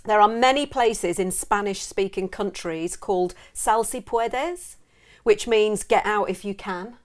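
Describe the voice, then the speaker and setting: A woman's voice: mid-range voice, measured pace. A middle-aged English woman co-hosting a podcast, chatting casually and giggling as she reads out odd facts. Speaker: podcast co-host. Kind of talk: casual chat.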